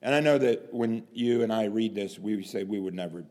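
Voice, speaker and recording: A man preaching a sermon, dramatic, swinging from hushed lines to loud emphasis and asking rhetorical questions. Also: lowish voice, moderate pace. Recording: long hall reverb.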